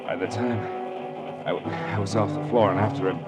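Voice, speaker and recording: A man's voice: fairly low pitch, steady tone, a little fast, lightly acted. An actor playing a wisecracking, hard-boiled private detective in an old radio crime drama, narrating his case in a sardonic, clipped, tough manner. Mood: tense, dramatic. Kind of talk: 1940s-50s radio crime drama acting, first-person narration. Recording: old radio recording with music behind.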